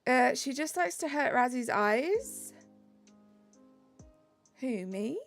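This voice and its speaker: Bubbly British woman with a gravelly edge. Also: high voice, animated, slow pace. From Britain. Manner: chatty and bubbly, reacts to chat messages. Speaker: streamer. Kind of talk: casual live-stream chat, reading chat aloud. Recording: light music behind the voice.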